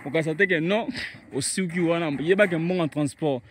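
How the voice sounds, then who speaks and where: A man chats through a casual report from open grassland and switches freely between languages. His voice sits fairly high. Clear outdoor noise runs under the phone recording. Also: quick pace. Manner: mixes Lingala and French, chatty, repeats words. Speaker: reporter presenting a building project.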